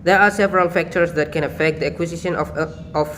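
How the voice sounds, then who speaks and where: Young man, Indonesian accent, fairly high voice, hesitant student talk, phone video, faint hiss. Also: fairly even intonation, a bit bright. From Madura, Indonesia. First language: Madurese. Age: young adult. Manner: halting, searching for words with many fillers. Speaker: student presenter. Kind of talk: class presentation. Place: small indoor room against a plain wall. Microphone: phone at arm's length.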